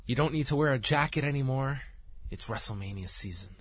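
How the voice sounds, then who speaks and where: A man in his thirties co-hosting a casual wrestling review, talking briskly and listing facts, getting over a lost voice. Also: mid-range voice, husky, no echo. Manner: brisk, rambling, lists facts. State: recovering from losing his voice. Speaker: podcast co-host reviewing wrestling.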